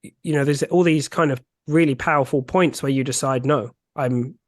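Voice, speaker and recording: A man's voice: mid-range voice, moderate pace, dry recording, clean studio sound. A man hosting a podcast, asking thoughtful, searching questions. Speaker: podcast host. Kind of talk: interview questions.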